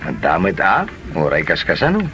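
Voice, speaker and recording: Man playing a bright, animated Ilocano delivery man. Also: low-pitched, moderate pace, faint music behind, exaggerated voice. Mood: defensive. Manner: chatty, expressive. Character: lovestruck, easygoing young delivery man. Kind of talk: comedy drama dialogue.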